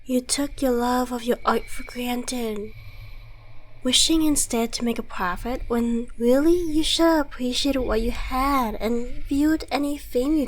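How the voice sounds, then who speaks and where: A woman's voice: on the high side, low-quality recording. A woman playing an ancient devil in a scripted ASMR roleplay, theatrical, articulate and condescending, mockingly friendly with menace underneath. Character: suave, gloating devil, centuries old, mockingly friendly, menacing.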